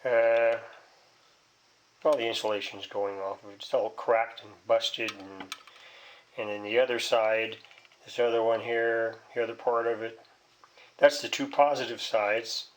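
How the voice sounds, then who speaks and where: A man talks slowly through a hands-on repair, rambling and hesitant as he thinks aloud. His delivery is folksy and casual, at an average male pitch. It is a homemade recording with some background noise and small clicks. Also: fairly even intonation, poor audio. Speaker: hobbyist repairing a vintage radio.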